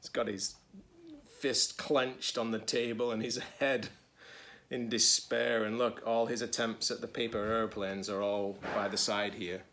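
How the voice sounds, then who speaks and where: A man's voice: fairly low pitch, flat delivery, unhurried, a bit bright, slight background noise. A man speaking as a teacher-librarian in a children's read-aloud, explaining things expressively to young listeners.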